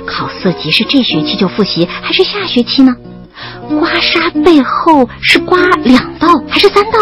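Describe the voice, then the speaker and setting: An actress playing a young woman student who narrates her love story in an audio drama, expressive and playfully wry. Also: sits high.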